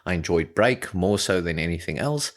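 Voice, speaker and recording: A man's voice: deep voice, expressive, moderate pace. A man from South Africa whose first language is Afrikaans, co-hosting a podcast in casual, rambling chat and laughing often. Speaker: podcast co-host.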